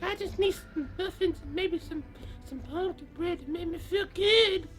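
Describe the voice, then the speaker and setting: A man's voice: very high voice, normal speed, fairly bright tone, soft background music, pitched above the performer's own voice. A man voicing a sly wolf pretending to be a sickly old grandmother, with a quavering, hesitant, wheedling delivery.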